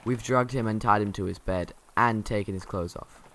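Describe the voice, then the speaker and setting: A gloating, casual student prankster played by a young man, with a fairly low voice. The recording has a faint noise and clicks. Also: normal speed, fairly bright tone. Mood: cocky, gloating. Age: young adult. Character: cocky eighteen-year-old university student prankster. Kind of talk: naturalistic radio drama acting.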